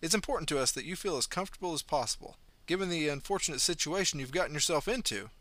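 A man's voice: a little high, animated, fast talker, sharp tone, no room sound, pitched above the performer's own voice. A man voicing a stern, muscular doctor who speaks with polished, reassuring politeness. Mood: courteous.

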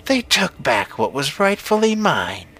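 Storybook witch, played by a male narrator: old, menacing and sly, raised pitch, drawn-out words. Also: huge pitch swings, sharp tone, husky, full character voice. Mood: cold, vengeful. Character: menacing old witch, slyly pleased, vengeful.

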